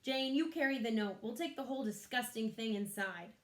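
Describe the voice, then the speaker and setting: A woman's voice: fairly high pitch, a little fast, reverberant, close to an everyday voice. A woman voicing a bossy, scornful boy in a storybook, with a dismissive, commanding manner.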